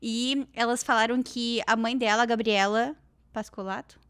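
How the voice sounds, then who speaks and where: A woman's voice: fairly high pitch, fairly even intonation, normal speed, a bit bright, clear, resonant tone, no room sound. A woman, hosting a podcast interview in an encouraging way. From Brazil. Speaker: podcast host. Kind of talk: casual interview chat. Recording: dry close sound.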